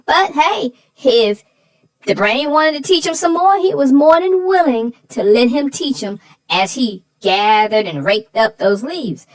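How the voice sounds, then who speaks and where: A woman voicing a sassy, feisty female co-host in chatty banter, with a folksy, emphatic, drawn-out way of telling things. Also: high-pitched, lively intonation. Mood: chatty, amused. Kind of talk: scripted chatty banter about a children's book.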